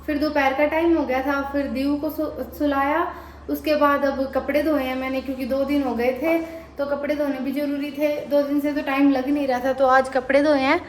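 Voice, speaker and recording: A woman's voice: high-pitched, flat delivery, normal speed, roomy sound, slight background noise, low-quality recording. A woman vlogging about her day in a chatty, easygoing way. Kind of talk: vlog narration.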